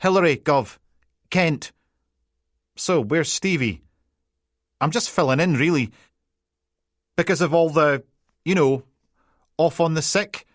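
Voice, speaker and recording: A man voicing a brusque young female police constable with a Peterhead Scottish accent, loud and flustered, stumbling over titles. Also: sits low, takes it slowly, pauses often, dry recording, studio quality, voice pushed up.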